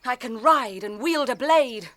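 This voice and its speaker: An actress playing a proud, fierce young noble shieldmaiden in a radio drama, impassioned and formal. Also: female voice, fairly high pitch, expressive, measured pace, fairly bright tone. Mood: proud, defiant. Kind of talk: classic radio drama acting.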